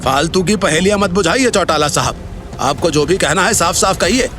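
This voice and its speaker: आदमी की अदाकारी में ऊँची आवाज़ वाला ताना मारता चाचा. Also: male voice, high-pitched, normal speed, crowd noise, lightly acted. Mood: irritated. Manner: taunting, mocking, argumentative. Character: sarcastic, scornful uncle and board member, businessman. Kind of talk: Hindi audio drama acting.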